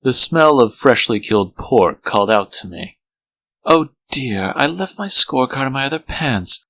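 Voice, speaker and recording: A man's voice: medium pitch, sing-song, normal speed, pushed and bright, no echo. A man voicing a sly talking fox in a comic dub, glib and sarcastic. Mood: sly, mocking.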